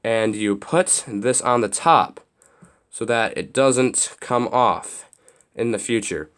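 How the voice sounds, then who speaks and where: A young man with a slightly raspy voice, casually demonstrating a build step by step, rambling with restarts and fillers. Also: medium pitch, slow pace, fairly bright tone. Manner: loose, rambling explanations with many restarts and fillers. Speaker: hobby video host.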